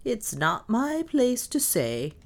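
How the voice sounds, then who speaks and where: Woman playing a doleful old Irish maid, animated pitch. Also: measured pace. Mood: grim, doleful. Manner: doleful, dramatic, insistent. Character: old Irish maidservant, superstitious, gloomy and stubborn. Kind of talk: audiobook character dialogue.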